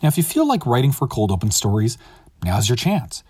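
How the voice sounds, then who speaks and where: A man's voice: mid-range voice, big pitch swings, fairly quick, a little mellow, husky, no room sound, studio quality. A man hosting an audio drama podcast, reading a scripted, upbeat outro. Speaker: host of an audio drama series.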